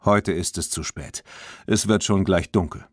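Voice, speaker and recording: A man voicing a terse, gruff old farm grandfather in a children's audiobook. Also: low voice, a little slow, no room sound, clean studio sound. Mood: stern, matter-of-fact.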